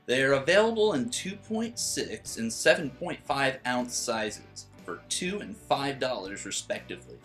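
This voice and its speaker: A man narrating a scripted how-to voice-over in an animated, instructional way. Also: mid-range voice, measured pace, few pauses. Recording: voice-over with faint background guitar.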